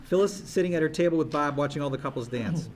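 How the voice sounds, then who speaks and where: A man's voice: a little high, fast talker, a loud crowd. A man reading screenplay stage directions aloud at a table read.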